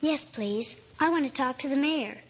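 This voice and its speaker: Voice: high voice, normal speed, long reverb tail. A woman playing an earnest, polite little girl, pleading in simple childlike speech in an old-time radio drama. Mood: earnest, insistent. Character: little girl, earnest, pleading, tearful over her lost dog. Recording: old radio recording with faint hiss.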